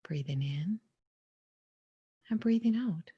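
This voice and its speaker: Soft, breathy woman leading a meditation. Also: on the low side, lively intonation, no echo, dull audio. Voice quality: soft, breathy, often near whisper. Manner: gentle, unhurried, pausing between short phrases. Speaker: guided meditation leader. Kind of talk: guided meditation, soothing spoken instruction.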